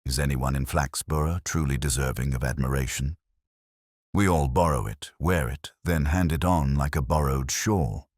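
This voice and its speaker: Man voicing a proud, arch, husky society woman. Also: extremely low pitch, normal speed, no room sound. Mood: proud, dry. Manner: arch, dry, composed.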